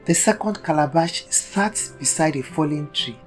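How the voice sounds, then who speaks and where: A woman storyteller narrating a scripted folktale in a dramatic, deliberate manner. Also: deep voice, expressive, soft background music.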